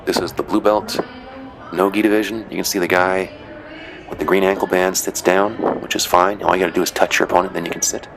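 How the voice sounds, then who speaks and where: Low-voiced rambling referee commentator. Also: male voice, flat delivery, fairly quick, vocal fry, noisy background. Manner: rambling, chatty, frequent "you know" and "uh"; dry joking asides. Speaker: referee narrating match footage. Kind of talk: casual commentary.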